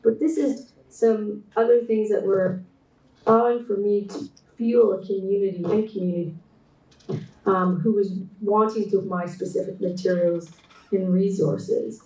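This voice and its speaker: A middle-aged woman with a Canadian accent, giving a conference keynote in a reflective, measured way with frequent restarts. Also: mid-range voice, darkish tone. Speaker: keynote speaker, textile designer. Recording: roomy echo, voice distant from mic.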